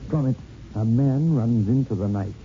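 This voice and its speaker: An actor playing a sinister, ghoulish horror host, narrating slowly and theatrically in an old-time radio show. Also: male voice, medium pitch, warm tone, a little hiss or hum, natural voice. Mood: ominous. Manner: slow, menacing, theatrical. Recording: muffled old radio recording with music.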